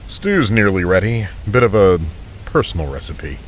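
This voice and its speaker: A man playing an older, sinister, genteel reclusive scientist, soft-spoken, polite and deliberate, in a horror audio drama. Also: lowish voice, big pitch swings, a little hiss or hum. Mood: sinister hospitality.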